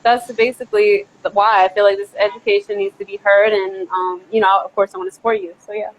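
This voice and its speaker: A woman's voice: medium pitch, little pitch movement, fast, slight background noise, low-quality recording. Earnest woman guest on a video call. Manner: earnest, lots of fillers. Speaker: guest, business workshop presenter. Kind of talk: casual chat.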